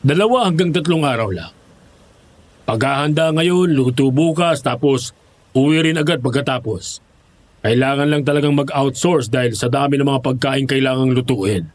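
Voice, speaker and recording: A man's voice: mid-range voice, moderate pace, close to an everyday voice. An actor playing a persuasive, glib male job recruiter with coaxing sales talk in a horror audio drama.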